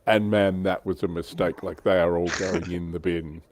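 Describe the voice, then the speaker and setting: A man's voice: low voice, fairly even intonation, moderate pace, darkish tone, slight background noise. A middle-aged man hosting a casual hobby livestream, chatting informally with a joking manner and mild swearing. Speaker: livestream host.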